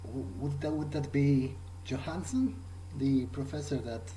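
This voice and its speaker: A man roleplaying his game character aloud in a hesitant, questioning, low-key way. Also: a little slow, warm tone, slight background noise, rough recording. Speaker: gamer voicing his own character.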